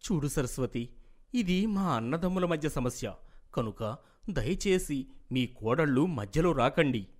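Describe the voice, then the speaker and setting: Man acting a principled elder brother, highish voice. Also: lively intonation, unhurried. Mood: gently scolding. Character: trusting elder brother, gentle but stern when betrayed. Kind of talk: moral-story cartoon dialogue.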